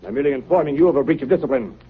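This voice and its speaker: An actor playing a stern, authoritative navy captain in a 1930s radio drama, speaking formally and with command. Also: male voice, medium pitch, lively intonation, very fast, slightly rough. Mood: angry, commanding. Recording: noisy, tinny 1930s radio broadcast.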